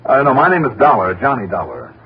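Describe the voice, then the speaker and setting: A dulled vintage radio recording with light noise presents a male insurance investigator. He is calm, wry and polite, probing in a medium-pitched voice in the manner of 1960s radio drama. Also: quick pace, lo-fi sound. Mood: polite, hesitant.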